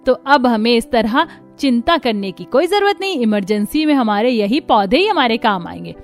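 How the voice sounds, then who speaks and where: A woman's voice: a little high, animated, normal speed, soft background music. A woman voicing a cheerful, bossy middle-aged mother-in-law in a cartoon story, exclaiming and insistent. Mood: pleased, reassuring.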